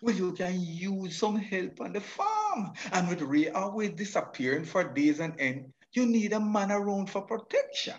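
Man acting an animated old Jamaican suitor. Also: sits high, a little slow, few pauses, dull audio, a little hiss or hum, full character voice. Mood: coaxing. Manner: animated, coaxing. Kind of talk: radio drama acting.

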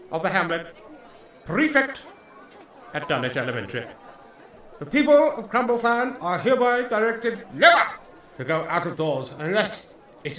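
High, swooping town crier. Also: male voice, bright, forceful tone, roomy sound, full character voice. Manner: declamatory, drawn-out delivery. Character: loud pompous male town crier. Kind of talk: comic audio drama proclamation.

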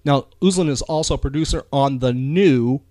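A man's voice: mid-range voice, moderate pace, warm tone, no echo, clean studio sound. A man hosting a podcast in a casual, rambling, enthusiastic chat about pop culture. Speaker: podcast host.

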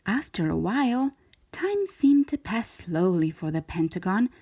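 A woman reading a children's picture book aloud in expressive narration, drawing out key words for emphasis. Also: mid-range voice, unhurried, slightly rough. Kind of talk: expressive scripted storybook narration for young children.

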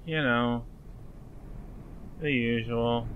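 A man's voice: mid-range voice, fairly even intonation, phone-quality sound, a little hiss or hum. A man playing an awkward, apologetic young man who stammers and evades, in fan dub drama dialogue. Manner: halting, stammering, evasive.

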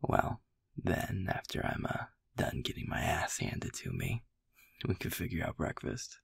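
A man plays an easygoing, affectionate young boyfriend in an intimate audio roleplay. His low voice is thick with gravel and very breathy, recorded close and dry. He talks softly and haltingly. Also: big pitch swings, dry recording, whispered, exaggerated voice. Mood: playful, affectionate.

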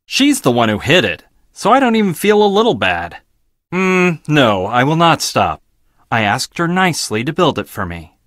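Arrogant scientist, swooping intonation. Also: male voice, on the high side, huge pitch swings, normal speed, fairly bright tone, dry recording, clean studio sound. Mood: curt, defensive. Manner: clipped, dismissive, pedantic. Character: arrogant, blunt, logical male research scientist. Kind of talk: video game voice acting.

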